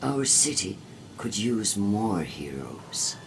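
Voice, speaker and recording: A man playing a proud, scheming political leader in a video game, gravelly, with a theatrical, deliberate, insinuating delivery. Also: on the low side, very animated, noisy background, exaggerated voice. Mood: flattering, solemn. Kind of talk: video game character monologue.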